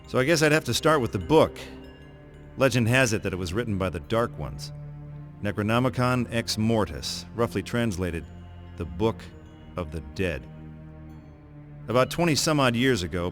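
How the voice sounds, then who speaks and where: An American man playing a cocky, sarcastic, hard-boiled monster slayer in a video game, with deadpan swagger. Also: on the low side, close to an everyday voice. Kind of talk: video game voice acting, wry narration.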